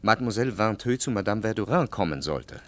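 An actor playing a brooding, jealous gentleman narrator in a literary radio drama, speaking in a measured, formal, reflective way. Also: lowish voice, expressive, natural voice. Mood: controlled, accusing.

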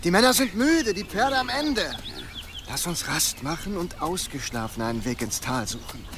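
An actor playing a weary, sensible medieval rider in an audio drama, reasoning with caution. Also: male voice, sits high, animated, moderate pace, noisy background. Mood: weary, persuading. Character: sensible, weary male medieval rider, advising his leader.

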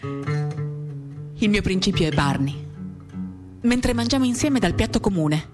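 A woman gives an expressive, lyrical dramatic reading of a first-person literary story, shifting in intensity as she tells it. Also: fairly low pitch, slow pace. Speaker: reader of a literary work. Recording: acoustic guitar music accompanying the voice. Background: acoustic guitar.

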